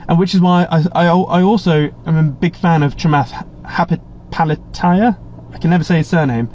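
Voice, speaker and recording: A man's voice: a little high, brisk, darkish tone, a little hiss or hum. Chatty English man streaming live. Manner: chatty and rambling, frequent restarts and blunt asides. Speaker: livestream host. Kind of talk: casual live Q&A, reading viewer chat. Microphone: webcam setup. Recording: slightly muffled stream audio.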